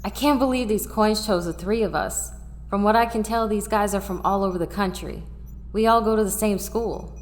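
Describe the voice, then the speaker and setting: A woman's voice: medium pitch, normal speed, close to an everyday voice. An actress playing a candid, bewildered teenage girl superhero recruit in an audio drama, talking casually. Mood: awed, friendly. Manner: casual, frank. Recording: reverberant hall sound.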